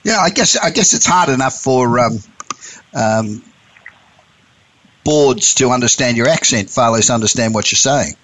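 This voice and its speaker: A man's voice: mid-range voice, animated, unhurried, pauses often, fairly bright tone. Male business radio host. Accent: non-standard regional. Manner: brief acknowledgements and friendly teasing.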